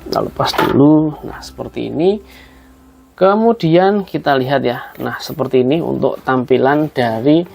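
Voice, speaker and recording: A man's voice: medium pitch, normal speed, vocal fry, darkish tone. A man explains a hands-on job step by step in a casual, conversational way, addressing viewers as friends. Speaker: host of a tutorial video.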